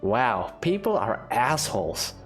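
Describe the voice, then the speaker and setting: A man's voice: medium pitch, big pitch swings, slow. A man giving casual commentary as he plays a video game. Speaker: gaming video host. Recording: faint game music behind the voice. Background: game music.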